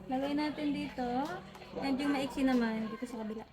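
High-pitched Filipino woman explaining an installation. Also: steady tone, a little fast, a loud crowd. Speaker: intercom installer demonstrating the job. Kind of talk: casual step-by-step explanation. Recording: music playing behind.

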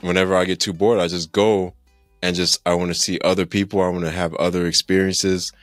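A man's voice: sits low, flat delivery, a little fast, no echo, soft background music. A man in his thirties, a podcast guest talking casually about growing up, rambling and laughing often, on a studio mic at home over a video call. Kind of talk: casual interview chat. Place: home room, indoors. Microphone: studio mic with headphones, video call.

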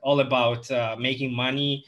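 A man's voice: mid-range voice, flat delivery, moderate pace, highs cut off. A young man from Moldova, a guest entrepreneur, talking casually on a video call in a home office with many fillers and restarts.

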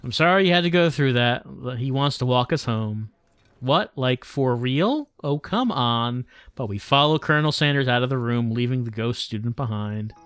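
A man live-streaming a visual novel, reading the text aloud with wry, deadpan asides. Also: mid-range voice, moderate pace, smooth, no room sound, studio quality.

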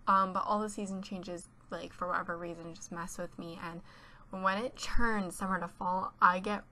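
Teenage girl, bright voice, stuffy from a cold, chatty and giggly, faint hiss behind. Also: lowish voice, steady tone, normal speed. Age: teens. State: congested from a cold. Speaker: YouTuber. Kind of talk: casual voice-over chat. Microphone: home voice-over mic.

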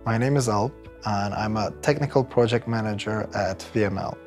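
A man's voice: fairly low pitch, normal speed. A man in his thirties from Turkey with a Turkish accent, talking conversationally in an interview as an employee. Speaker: employee interviewee, technical project manager. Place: bright office room indoors, by large windows. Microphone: lapel mic. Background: background music.

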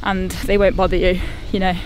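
A woman's voice: lowish voice, flat delivery, brisk, a little hiss or hum, low-quality recording. An enthusiastic, chatty woman, talking casually as she vlogs on a walk outdoors. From Nottingham, England. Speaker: travel vlogger. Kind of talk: casual walking vlog to camera. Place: outdoors in open countryside.

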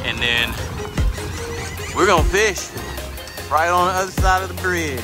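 A young man narrating his own fishing trip in a relaxed, slangy way, talking to a camera on his boat. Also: high voice, a little slow, over music. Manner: laid-back, slangy, talks to camera while working. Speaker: fishing vlogger. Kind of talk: casual outdoor vlog narration. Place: outdoors at a boat ramp and on open water.

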